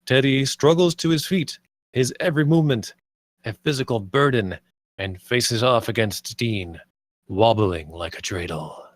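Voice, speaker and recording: A man's voice: mid-range voice, measured pace. A man reading stage directions aloud as scripted screenplay narration.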